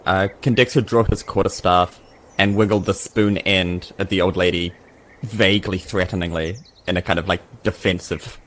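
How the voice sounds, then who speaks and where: A man's voice: low voice, steady tone, normal speed, a bit bright. A New man takes his turn in a tabletop game in casual, conversational speech. There is faint ambient noise behind him.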